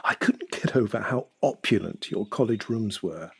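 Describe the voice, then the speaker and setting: 男演员饰演的沙哑英国中年男人. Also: male voice, on the low side, lively intonation, normal speed, creaky, husky, full character voice. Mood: genial. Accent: British. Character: genial, self-deprecating fifty-year-old house husband. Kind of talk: audio drama acting.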